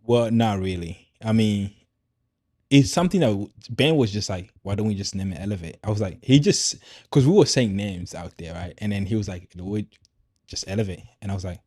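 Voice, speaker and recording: A man who speaks Swahili natively, hosting a podcast in a loose, halting, conversational way. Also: fairly low pitch, a little mellow, no room sound, studio quality. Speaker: podcast host. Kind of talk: casual conversational podcast chat.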